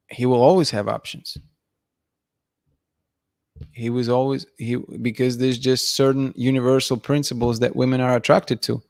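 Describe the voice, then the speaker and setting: A man in his thirties hosting a night-time livestream, bluntly giving his opinions and reading chat comments aloud, his voice going hoarse. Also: mid-range voice, no room sound, clean studio sound. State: losing his voice, clearing throat. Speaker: livestream host. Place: dim room at night, indoors. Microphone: studio mic in front of him.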